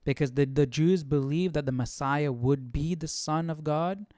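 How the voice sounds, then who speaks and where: A man's voice: a little high, normal speed, darkish tone, no echo, clean studio sound. A man hosting a livestream study, thinking aloud in a casual, questioning way. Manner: questioning, musing. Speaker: host of Bible study livestream.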